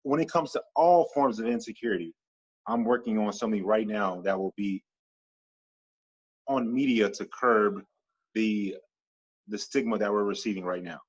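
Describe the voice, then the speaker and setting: Husky man, animated talk. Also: on the low side, moderate pace, long pauses. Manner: animated, builds long repeated lists. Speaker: podcast guest, entrepreneur. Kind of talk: casual interview talk.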